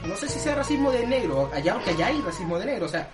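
A man's voice: high voice, sing-song, very fast. Young Chilean man, rambling casual co-host, roomy sound with music under it. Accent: Chilean Spanish. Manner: rambling, slangy, laughs often. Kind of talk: casual chat. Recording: echoey room with music playing.

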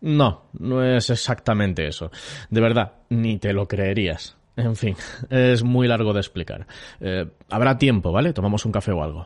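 An actor with an accent from Spain playing a hesitant, exasperated scriptwriter in a naturalistic audio fiction. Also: male voice, on the low side, moderate pace, darkish tone, close to an everyday voice. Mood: harried. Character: frazzled, apologetic, grumbling male scriptwriter.

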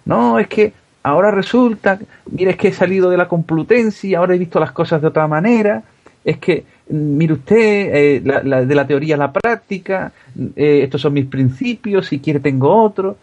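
A man doing a wheedling turncoat politician, high and mocking. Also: normal speed, darkish tone, dull audio, close to an everyday voice. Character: male politician switching his story.

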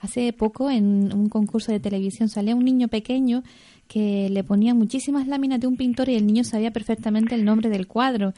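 A woman hosts a radio interview and asks her guest friendly, encouraging questions. Her voice is mellow and a touch high. The sound is dry and close. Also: fairly even intonation, fairly quick, no echo. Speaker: radio host.

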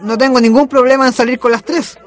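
A man's voice: extremely high pitch, steady tone, fast. A man acting as a cheeky, persistent teenage suitor in a radio drama, breezy and insistent.

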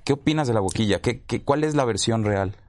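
Un hombre con acento mexicano, coanfitrión, que pregunta con titubeos y algo de broma. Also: male voice, mid-range voice, lively intonation, a little fast, a little mellow, no echo. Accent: Mexican Spanish. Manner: joking, hesitant questions. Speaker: co-host. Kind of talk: casual interview.

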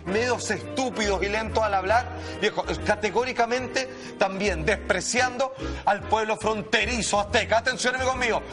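A man with a Chilean accent giving animated, slangy, emphatic commentary, speaking straight to his listeners. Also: sits high, moderate pace, over music. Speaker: talk show commentator.

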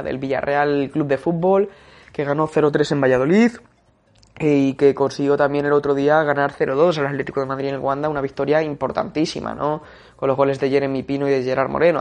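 Young man, football segment, steady delivery with fillers, monotone. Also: a little high. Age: young adult. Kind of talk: casual radio-style football talk.

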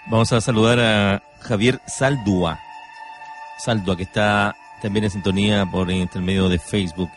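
A man's voice: lowish voice, fairly even intonation, normal speed, soft background music. A man hosting a conversational radio talk show, speaking emphatically.

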